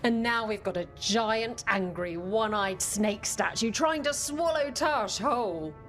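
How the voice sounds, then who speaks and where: A woman's voice: a little high, a little slow, fairly bright tone. An actress voicing a brash, pirate-like adventurer woman with a swaggering storyteller's manner in a video game. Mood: dramatic storytelling. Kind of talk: video game cutscene dialogue. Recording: game music behind the voice.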